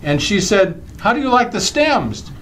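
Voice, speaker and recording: A man's voice: high voice, very animated, unhurried, fairly bright tone, a little hiss or hum, exaggerated voice, rough recording. A man playing a jovial, lovestruck bachelor with eager, hammy delivery in old-time radio comedy style. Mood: smitten, jovial. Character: lovestruck, jovial bachelor pal telling silly jokes.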